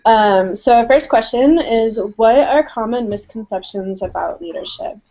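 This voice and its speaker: A woman's voice: fairly high pitch, unhurried, dark tone. A woman hosting an online panel, enthusiastic and full of "um" fillers. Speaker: host. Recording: dull lo-fi online call audio.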